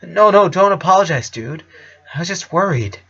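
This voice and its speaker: Man voicing an anxious, excitable teenage boyfriend. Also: sits high, moderate pace, breathy edge, highs cut off, pitched above the performer's own voice, full character voice. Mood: friendly relief. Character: anxious, friendly teenage boyfriend, awkward and frantic.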